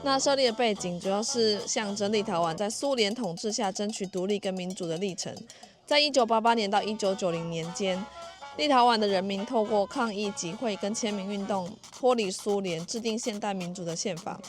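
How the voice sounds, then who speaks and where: A woman narrates a travel vlog in a medium-pitched voice, explaining history and background. Light music plays beneath her informative delivery. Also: fairly quick.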